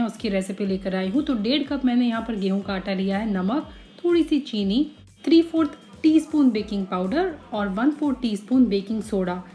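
Mellow woman explaining a recipe. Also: a little high. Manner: calm, step-by-step explaining. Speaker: cooking channel host. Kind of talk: voice-over recipe instructions.

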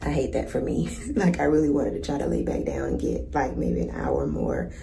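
Hoarse, low-voiced young woman vlogging. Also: huge pitch swings, normal speed, dark tone, recorded from a distance. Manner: chatty, laughs often, talks straight to her viewers. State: hoarse from little sleep. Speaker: flight attendant vlogger. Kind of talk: casual vlog chat to camera. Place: hotel room and empty airliner cabin. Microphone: phone held at arm's length.

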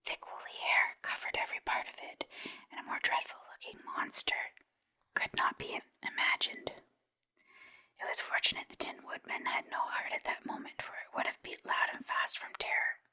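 A woman reading a children's story in a breathy, unvoiced whisper, as scripted ASMR-style narration. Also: moderate pace, bright, forceful tone. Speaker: storybook reader.

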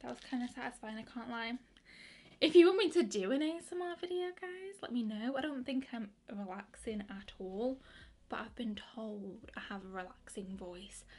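A young woman in her twenties chatting excitedly through a makeup review, with lots of fillers and restarts. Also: on the high side. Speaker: beauty vlogger. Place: bedroom with colourful lighting.

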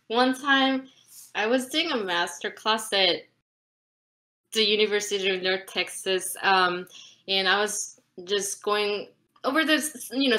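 A bright, clear-toned woman in her thirties, originally from South Korea, runs an online flute class. She talks in a chatty, animated way and laughs often. Her voice comes through a webcam on a video call from home, at a middle pitch. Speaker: flute teacher. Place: home room, indoors.

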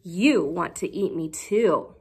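A woman's voice: on the low side, animated, slow, a little mellow, husky, voice pushed down, full character voice. A woman voicing a boastful little gingerbread cookie boy in a storybook, chanting his taunts in a sing-song way. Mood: worried.

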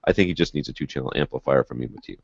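Tired deep-voiced man, losing his voice. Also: very fast, husky, dry recording. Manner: tired, wrapping up. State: losing his voice, feeling sick. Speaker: podcast host. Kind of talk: casual podcast chat. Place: home room, in armchair. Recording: dry, slightly dull video-call audio.

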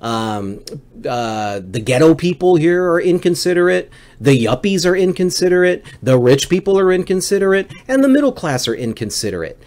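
A middle-aged man delivers a comedic storytelling monologue with very animated pitch, swinging from low mutters up to high, emphatic peaks. He rants in an exasperated way and swears freely as he goes. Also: moderate pace. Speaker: livestream host.

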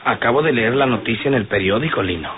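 A man's voice: medium pitch, expressive, quick pace, a little hiss or hum, lightly acted. An actor playing a fussy, effeminate man with a Mexican accent, petulant and sighing, in old radio drama style. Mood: grave, reproachful. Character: fussy, effeminate man fretting over his honor. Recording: muffled, phone-like old radio recording.

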